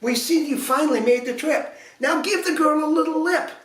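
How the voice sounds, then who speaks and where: A man voicing a trio of fussy little fairies who scold in a shrill voice. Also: normal speed, fairly bright tone. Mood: impatient. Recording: roomy echo, distant mic.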